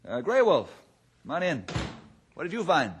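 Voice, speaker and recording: An actor playing a steady, thoughtful, fatherly ranger in an old-time radio drama, folksy and reassuring. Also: on the high side, moderate pace, lo-fi sound, a little hiss or hum. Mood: explaining.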